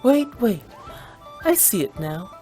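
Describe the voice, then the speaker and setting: A woman's voice: medium pitch, very animated, takes it slowly, mellow. A playful young female game character with a mid-range voice. She speaks in a theatrical, teasing, mock-formal way, over faint music. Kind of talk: video game voice acting.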